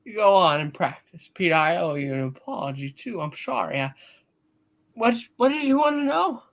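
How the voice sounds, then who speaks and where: A man playing a sharp-tempered but loving, weary father in a hammed-up live radio play reading. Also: high-pitched, lively intonation, moderate pace, gravelly, full character voice. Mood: apologetic. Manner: hammed-up, exasperated, stumbling reading.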